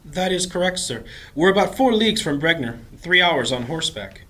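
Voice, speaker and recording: An actor playing a patient, devout healer priest in a fantasy audio drama, with formal and reverent speech. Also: male voice, on the high side, expressive, normal speed, sharp tone. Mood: helpful.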